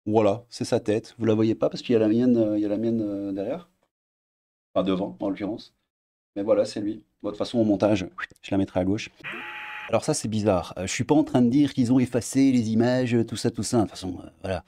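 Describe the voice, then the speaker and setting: A man in his thirties with a Belgian French accent, talking in a casual, sarcastic commentary vlog from his home desk and jumping into high mocking tones. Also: fairly quick. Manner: sarcastic, animated, swings pitch up for mock emphasis. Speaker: YouTuber commentator.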